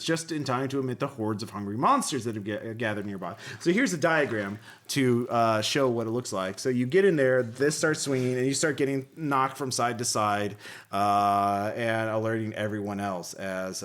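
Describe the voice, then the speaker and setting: Man, mid-pitched voice, podcast host, casual gaming talk, conversational delivery. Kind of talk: casual tabletop gaming talk, reading rulebook passages aloud.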